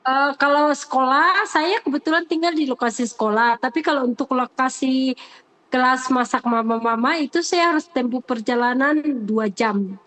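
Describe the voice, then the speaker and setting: A woman's voice: high voice, normal speed, a bit bright, poor audio. A woman, a teacher answering interview questions off the cuff, earnest and hesitant, often repeating her phrases.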